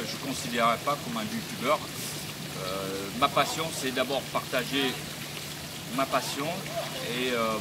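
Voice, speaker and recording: A man, speaking halting in a casual question-and-answer vlog. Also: medium pitch. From Vietnam, of Chinese descent, moved to France at fourteen. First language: not French, learned French as a teenager. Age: 50s. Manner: halting, non-native French phrasing with repeated phrases. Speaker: YouTuber answering viewer questions. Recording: loud wind and ambient outdoor noise.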